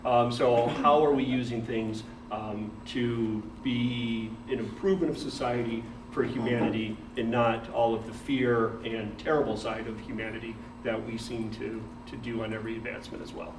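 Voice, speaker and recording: Male director, mid-pitched, speaking informally to a gathering, distant mic. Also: level tone, moderate pace, hardly any pauses. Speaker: team director introducing himself. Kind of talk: informal introduction to a group. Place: meeting room. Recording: echoey noisy room, distant mic.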